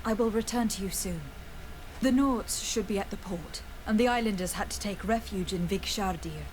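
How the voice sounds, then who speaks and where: A woman's voice: mid-range voice, moderate pace, slight background noise, lightly acted, poor audio. Woman acting formal, composed young noblewoman diplomat. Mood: measured, probing. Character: earnest young noblewoman diplomat investigating crimes. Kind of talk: video game dialogue acting.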